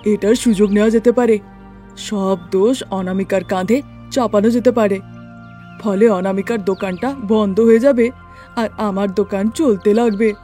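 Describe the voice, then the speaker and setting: A woman's voice: mid-range voice, steady tone, normal speed, mellow, close to an everyday voice. Rival shopkeeper, cartoon villain, woman performer: sly, envious, plotting aloud, music bed. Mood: sly scheming. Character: scheming, envious male rival sweet-shop keeper. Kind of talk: cartoon story character acting. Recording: music behind the voice.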